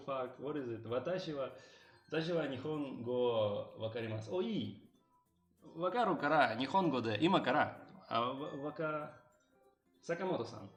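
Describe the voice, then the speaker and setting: Rambling casual male streamer. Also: mid-range voice. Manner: rambling, many fillers, answers chat; jokes in broken learner Japanese. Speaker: streamer and game developer. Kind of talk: casual live-stream chat while drawing.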